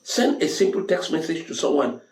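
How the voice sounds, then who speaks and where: A man's voice: fairly high pitch, very animated, moderate pace, darkish tone. A man giving a motivational monologue to his audience in an emphatic, preacherly way, repeating phrases to drive points home. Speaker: host of a motivational talk show. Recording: roomy echo, music underneath.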